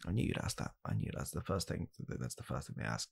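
Young guy doing a soft spoken blunt dad for asmr comedy, super croaky and raspy, halting and deadpan. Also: a bass voice, full character voice. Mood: awkward, grave. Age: young adult. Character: blunt, callous father calmly blaming his child. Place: small home room, indoors.

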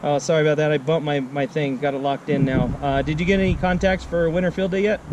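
A man's voice: on the high side, steady tone, fast talker. A middle-aged American man chatting casually as a radio operator, repeating phrases so they get across. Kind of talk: casual radio chat while demonstrating gear. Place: outdoor picnic table on a wooded mountain. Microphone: camera on the table nearby. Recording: outdoor camera audio with clear noise.

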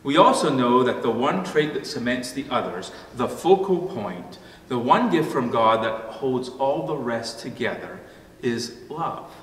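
A middle-aged or older man, a pastor, delivering a sermon with measured, deliberate phrasing. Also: medium pitch, lively intonation. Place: church room at a wooden pulpit. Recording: echoey church hall, distant mic, faint noise.

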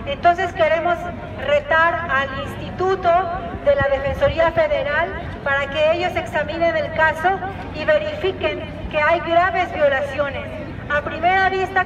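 A high-voiced woman with a Mexican accent makes a formal, articulate protest speech. The sound is muffled and distant, with crowd noise around her. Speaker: activist speaker for prisoners' families. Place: city street rally, outdoors. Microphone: PA speaker, filmed from a distance.

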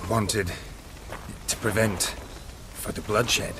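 An actor playing a gruff, stoic monster hunter in a video game, speaking in terse, clipped, deadpan phrases. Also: male voice, fairly low pitch, takes it slowly, noisy background. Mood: dry, disapproving. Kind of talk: video game cutscene acting. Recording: game audio over rain ambience.

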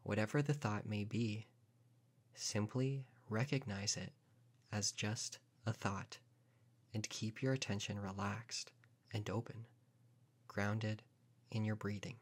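Slow, low-voiced man guiding meditation. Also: breathy edge. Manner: calm, unhurried, gently instructing. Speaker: meditation teacher. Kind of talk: guided meditation narration.

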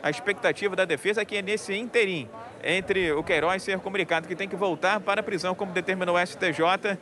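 A man's voice: sits high, fairly even intonation, fast talker. Man reporting live over crowd noise. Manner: chatty, many fillers, addresses anchor by name. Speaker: field reporter. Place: outdoors at a crowded public event. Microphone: handheld mic, wearing a face mask. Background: crowd murmur.

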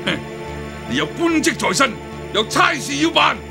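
An actor with a Hong Kong Cantonese accent playing a domineering, scornful older official who scolds others in a radio drama. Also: male voice, very high voice, animated, normal speed, vocal fry, over music, full character voice. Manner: imperious, scolding.

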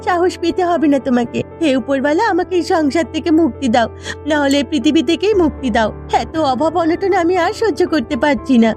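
A woman's voice: sits high, expressive, a little fast, darkish tone. A woman playing a weary, fretful but caring village housewife in a cartoon, complaining and pleading dramatically. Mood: upset, aggrieved. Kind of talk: Bengali cartoon voice acting. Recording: music behind the voice.

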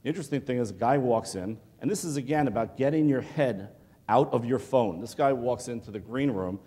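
A man's voice: mid-range voice, a little fast, slightly rough. Middle-aged guy giving a fired-up keynote, pleading w the crowd, lapel mic, long echo in a big ballroom. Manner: animated, pleading, conversational with frequent "okay?". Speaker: keynote speaker. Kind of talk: motivational lecture to students. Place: large hotel ballroom with audience. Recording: long hall reverb.

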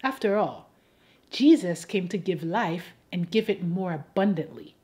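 A woman from Haiti delivering scripted persuasive commentary to an audience, in a pointed, wry and deliberate rhetorical way. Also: fairly low pitch, expressive, dark tone. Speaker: host of an advocacy video.

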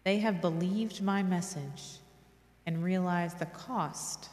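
A middle-aged woman reads prayers and meditations with solemn reverence. Her voice is a little low and her pace is slow. It rings out in a large, echoey church with long reverb. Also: a little mellow. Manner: measured, reverent. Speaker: reader of a church devotional. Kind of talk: solemn scripted devotional reading and prayer. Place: large church interior, at a lectern. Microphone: microphone on lectern stand.

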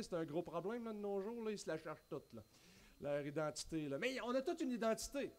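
High-voiced Québécois pastor preaching animatedly. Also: male voice. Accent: Québécois French. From Quebec. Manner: animated, gesturing widely, many restarts and spoken asides. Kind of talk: sermon. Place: church stage at a wooden pulpit, indoors.

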